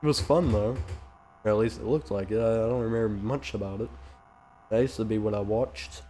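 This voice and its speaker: A man's voice: fairly low pitch, brisk, dark tone, a little hiss or hum. Congested young man gaming nervously. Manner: nervous rambling, panicky outbursts. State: congested from a cold, coughing. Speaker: gaming youtuber. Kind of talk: let's play commentary.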